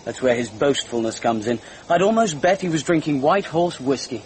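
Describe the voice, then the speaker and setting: An actor playing a confident police inspector in a radio drama, matter-of-fact and official. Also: male voice, mid-range voice, animated, normal speed, a little mellow, close to an everyday voice. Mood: grim frustration. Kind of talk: classic radio drama acting. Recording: noisy old radio drama recording.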